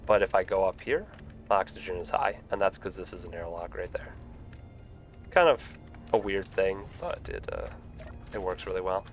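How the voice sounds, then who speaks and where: A man's voice: low-pitched, moderate pace, soft background music. A young man with a stuffy voice from a cold, chatting casually with lots of fillers while live-streaming a building game. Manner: relaxed, rambling, frequent fillers.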